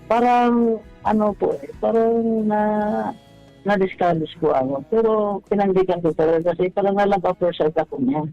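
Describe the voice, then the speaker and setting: Muffled, high-pitched male caller. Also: dark tone. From Bohol, Philippines. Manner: polite, halting. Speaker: radio show caller. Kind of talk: casual personal storytelling. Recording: dull, band-limited phone call. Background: music.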